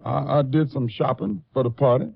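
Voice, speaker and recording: A man's voice: medium pitch, moderate pace, vocal fry, slightly rough. A man acting a frightened, deferential car lot worker in a 1950s radio drama, with meek, folksy, pleading speech. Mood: nervous, respectful. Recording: muffled 1950s radio recording, slight room echo.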